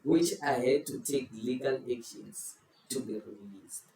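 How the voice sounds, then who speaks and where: A man's voice: medium pitch, vocal fry, dark tone, distant mic. A man leads a casual chat quiz and strings out his clues in a playful, teasing way. He sounds set back from the mic in an echoey room with a faint hiss behind him. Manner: playful, drawn-out clue-giving. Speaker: quiz host. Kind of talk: casual group chat, posing football riddles.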